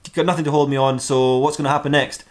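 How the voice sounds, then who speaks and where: A man's voice: medium pitch, little pitch movement, quick pace, lightly acted. A man voicing a brash, sweary female mermaid in a comic story, cocky, blunt and dismissive. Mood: smug.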